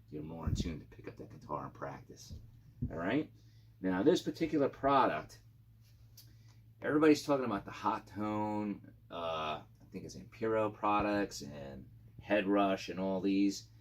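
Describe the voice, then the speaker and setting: A middle-aged man hosting a casual video channel, talking informally and haltingly through an overview of gear. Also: deep voice, a little hiss or hum. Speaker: YouTube channel host.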